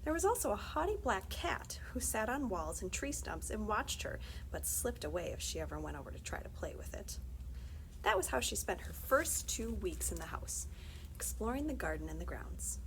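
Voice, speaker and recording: Woman, library storyteller, dramatic, wry asides, very lively intonation, touch of creak. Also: mid-range voice, a little hiss or hum, poor audio. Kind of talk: expressive read-aloud storytelling.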